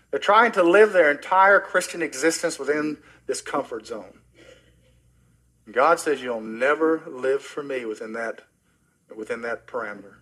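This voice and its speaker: A middle-aged man preaching a sermon as pastor, earnest and personal, often dropping soft and low at the ends of phrases. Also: husky, poor audio. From Oklahoma, US.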